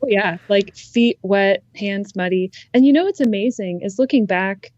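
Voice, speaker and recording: A young woman from Ohio, a conservationist, talking in a relaxed, reflective way as a guest in an interview. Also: medium pitch, moderate pace, dry recording, lo-fi sound. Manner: relaxed, reflective, frequent ums. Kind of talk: casual interview conversation.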